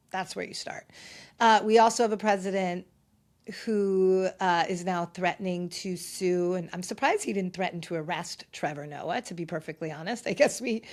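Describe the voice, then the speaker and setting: A woman's voice: on the low side, darkish tone. A woman hosting a solo podcast with a slight lisp, talking in a chatty, sarcastic way with rambling asides. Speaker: podcast host. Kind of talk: casual solo podcast chat.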